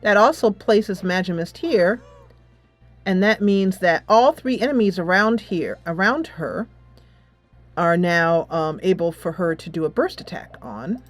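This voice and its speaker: A woman's voice: lowish voice, a little slow, soft background music. A woman gaming host giving casual commentary, thinking aloud as she plays a tactics game.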